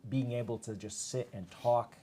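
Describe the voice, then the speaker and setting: A man giving his expert view on a panel conversationally, with tentative, halting phrasing. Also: medium pitch, fairly even intonation, mellow, slight background noise. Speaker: panel speaker.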